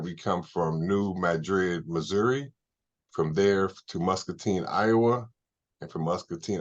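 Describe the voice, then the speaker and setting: Low-voiced American man, slightly dull sound. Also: fairly bright tone. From Evanston, Illinois, United States. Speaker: interview guest, consultant. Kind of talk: conversational, explanatory interview answers.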